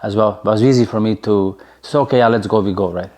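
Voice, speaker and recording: Slovenian-accented man in his forties, lowish voice, loose chatty storyteller who leans on "right?", interview guest. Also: fairly even intonation, quick pace, a little mellow. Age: 40s. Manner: chatty, many fillers, keeps asking "right?". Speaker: interview guest, former soccer player.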